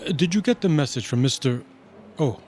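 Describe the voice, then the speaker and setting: A man's voice: a little high, animated, a little fast, darkish tone, slight background noise, lightly acted. Man playing a flustered, deferential hotel concierge. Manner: hesitant, polite, flustered. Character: nervous, deferential male hotel desk concierge. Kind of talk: radio drama acting.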